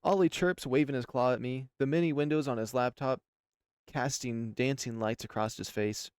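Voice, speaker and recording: A man's voice: medium pitch, fairly even intonation, moderate pace, clean tone, dry recording, studio quality. A man reading a visual novel aloud as first-person narration for a channel. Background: music.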